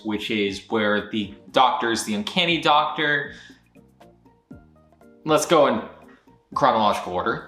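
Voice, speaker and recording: A man's voice: medium pitch, sharp tone. A man hosting his channel's casual year-end recap, rambling and breaking off into jokey asides. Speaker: channel host. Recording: roomy echo with faint music.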